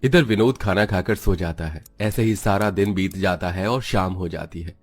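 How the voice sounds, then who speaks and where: Casting: male narrator with a deep voice for scripted children's cartoon tales. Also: normal speed.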